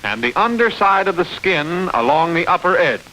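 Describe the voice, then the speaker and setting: A man reading scripted instructional narration for a training film, explaining step by step in a clear manner. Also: high-pitched, big pitch swings, moderate pace, bright, forceful tone, low-quality recording. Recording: hissy old training film soundtrack.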